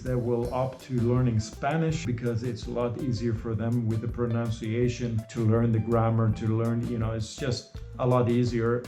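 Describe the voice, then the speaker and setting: Mellow-voiced man. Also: medium pitch, reverberant. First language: Norwegian. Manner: loose and repetitive, full of "you know" and restarts. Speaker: host. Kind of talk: casual advice monologue.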